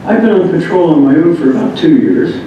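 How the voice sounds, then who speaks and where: A man reads a written first-person memoir aloud, halting at times. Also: very animated, mellow. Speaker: reader of a memoir. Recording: muffled, very echoey room, distant mic, rumbling noise.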